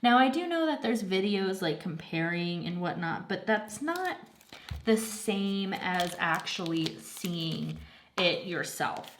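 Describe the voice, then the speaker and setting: A woman's voice: lowish voice, very animated, takes it slowly, slight background noise. A young woman chatting casually about a crafting project at home, slightly congested and rambling with lots of fillers. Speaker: craft vlogger. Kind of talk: casual chat.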